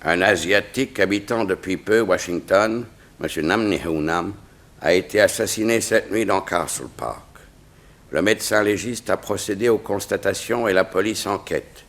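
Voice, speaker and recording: Casting: stately Excellency, very low voice, formal and measured, 1960s radio drama. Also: male voice, a bit bright. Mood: reading, puzzled. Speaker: actor in a detective radio serial.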